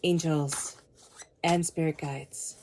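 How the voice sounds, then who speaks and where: A woman with a cold giving a tarot reading, speaking earnestly and slowly repeating her invocations. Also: low-pitched, fairly even intonation, background noise. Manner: soothing guided meditation. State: has a cold. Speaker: tarot reader.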